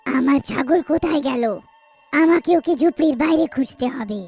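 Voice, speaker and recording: A woman voicing a fearsome, proud, lonely witch of the forest in a horror cartoon, with loud, commanding delivery. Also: high-pitched, normal speed, soft background music, voice pushed down. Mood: worried, lonely. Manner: imperious, loud, menacing declarations.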